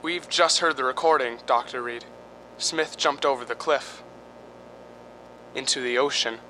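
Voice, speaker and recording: Man playing a bright-voiced, alarmed, earnest gentleman. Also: a little slow, low-quality recording. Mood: concerned, insistent. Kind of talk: radio drama acting. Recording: faint rumbling background noise.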